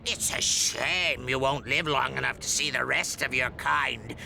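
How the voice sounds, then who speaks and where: An actor playing a maniacal, grandiose robot villain in a cartoonish video game, pompous and theatrical, with bursts of shouting. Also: male voice, mid-range voice, measured pace, vocal fry, background noise. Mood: mock regretful gloating.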